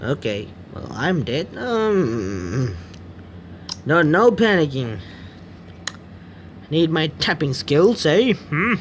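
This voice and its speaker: A man hosting a gaming video, reading game text aloud and commenting on it in a jittery, excitable way. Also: on the high side, slow pace, pauses often, slight background noise. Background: game music.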